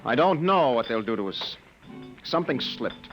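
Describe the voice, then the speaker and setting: An actor playing a young husband in hiding in a 1950s radio drama, curt, defensive and strained. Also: male voice, mid-range voice, big pitch swings, normal speed, music in the background, rough recording. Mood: tense. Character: tense young husband on the run, a fugitive from the future.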